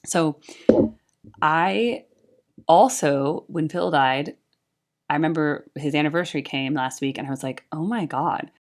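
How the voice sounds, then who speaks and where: A woman's voice: deep voice, a little slow, long pauses. A young woman talking casually about music, earnest and chatty, with frequent "like" and "right?". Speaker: YouTube music reactor and tribute band singer.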